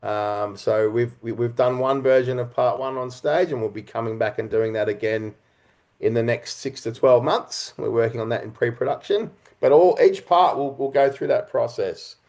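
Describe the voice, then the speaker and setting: A man speaking as an interview guest, earnest and reflective, explaining his creative work in halting, conversational phrases. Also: mid-range voice, a little mellow. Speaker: interview guest, writer-director and actor.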